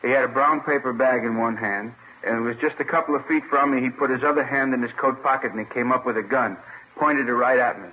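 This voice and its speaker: Earnest actor as American shopkeeper, muffled radio. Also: male voice, medium pitch, fairly even intonation, fast, close to an everyday voice. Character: earnest middle-aged male shopkeeper recounting an attack. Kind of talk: 1950s radio drama, plain testimony. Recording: muffled, hissy old radio.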